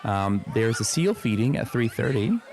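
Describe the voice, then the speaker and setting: A man's voice: medium pitch, sing-song, moderate pace, background noise. A man playing a patient but harried zoo keeper in an improvised comedy radio play, politely placating and hesitant as he talks.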